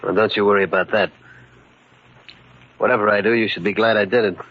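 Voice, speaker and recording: An actor playing a tough, calmly menacing gangster henchman with an American accent and blunt, slangy talk, in an old-time radio crime drama. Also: lowish voice, brisk. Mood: gruff menace. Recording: muffled, dull old radio recording.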